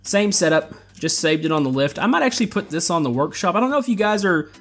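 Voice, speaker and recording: A young man hosting a game video, giving a relaxed, rambling tour of his build with plenty of pauses and fillers. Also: fairly high pitch, faint music behind. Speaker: gameplay channel host. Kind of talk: casual game walkthrough commentary.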